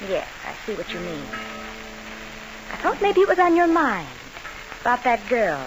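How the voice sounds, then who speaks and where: A woman's voice: on the high side, huge pitch swings, moderate pace. An actress playing a mysterious, sardonic woman in an old radio mystery, sly, knowing and needling in her delivery. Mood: mocking. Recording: noisy, hissy old radio broadcast.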